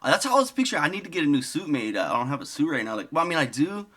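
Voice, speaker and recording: A man's voice: on the high side, sing-song, rapid-fire, bright, forceful tone. A man giving loose, profane comedic commentary over clips, wandering off-topic and laughing at his own jokes. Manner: profane, digressive, laughs often. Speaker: commentary host.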